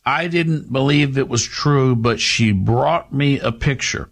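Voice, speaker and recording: A man's voice: mid-range voice, slow pace, creaky, husky. A man in his fifties runs a call-in radio show. He muses over ideas and shares his opinions freely. With callers he stays easygoing and reassuring. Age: 50s. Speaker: radio talk show host.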